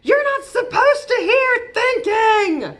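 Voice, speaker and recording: Storybook little boy, acted by a woman: exuberant, explosive, shouting very high, big swings in pitch. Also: takes it slowly, heavily acted. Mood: loud, excited. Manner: explosive, excitable.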